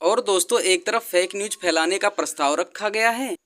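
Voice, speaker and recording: A male host reads satirical scripted narration in a high-pitched voice. He presents it directly to the listener, like the narrator of a mock news roundup. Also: moderate pace, fairly bright tone.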